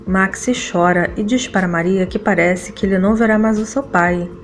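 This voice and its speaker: A woman's voice: medium pitch, fairly even intonation, moderate pace, faint music behind. A woman with a Brazilian accent reading a scripted soap opera recap as narrator.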